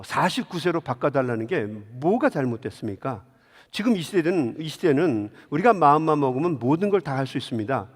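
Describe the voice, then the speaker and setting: A man preaching a sermon as a pastor, telling stories and putting rhetorical questions directly to his congregation in a church. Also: on the high side. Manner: engages listeners with direct questions. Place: large indoor church sanctuary. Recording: long hall reverb of a church.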